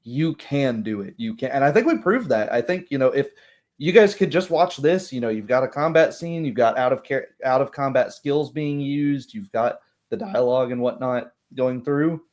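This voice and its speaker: A man's voice: mid-range voice, expressive, moderate pace, darkish tone. A man chatting casually in a group, explaining his thoughts on a tabletop game and often checking for agreement.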